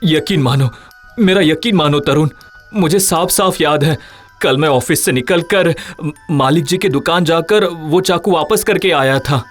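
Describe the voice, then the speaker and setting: High-pitched, panicky, stammering man. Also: measured pace, soft background music. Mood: insistent. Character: frightened, frantic young office man haunted by nightmares. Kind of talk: dramatic Hindi animated horror story acting.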